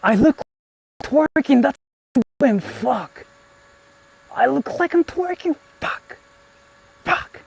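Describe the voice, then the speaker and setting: A man's voice: very high-pitched, lively intonation, takes it slowly, vocal fry, pauses often, no echo. Chatty young man streaming outdoors. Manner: chatty, talks to viewers, frequent swearing and fillers. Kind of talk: casual live-stream vlogging. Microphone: phone held while streaming.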